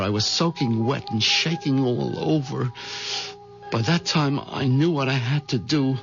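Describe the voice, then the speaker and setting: A man's voice: medium pitch, close to an everyday voice. An actor playing a meek, nervous American husband caught up in his own crime, in old-time radio drama style. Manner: hesitant, anxious, stammering under pressure. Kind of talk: old-time radio drama acting and first-person narration. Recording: dull lo-fi radio recording, music behind.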